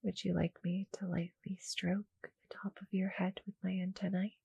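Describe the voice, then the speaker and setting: A woman voicing a small, sweet female cuddle monster in an intimate ASMR roleplay, soft and breathy, often near a whisper, gentle and a little hesitant. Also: on the low side, flat delivery, moderate pace, no room sound, lo-fi sound, heavily acted. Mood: soothing, hushed. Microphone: close, dry studio-style mic.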